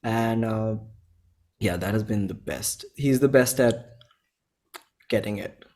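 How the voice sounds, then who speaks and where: Casual young Indian man, slangy and animated. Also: lowish voice, takes it slowly. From Bhopal, India. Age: 20s. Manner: animated retelling with quoted exchanges, slangy. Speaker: interview guest, singer-songwriter. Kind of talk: casual chat.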